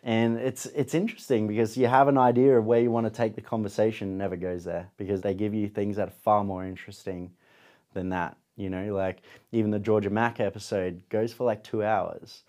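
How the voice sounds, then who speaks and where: Mellow-voiced man, earnest and reflective. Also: on the low side, fairly even intonation, a little fast. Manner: reflective, earnest, trails off softly at ends of thoughts. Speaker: podcast host and photographer, interview guest. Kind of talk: casual interview conversation.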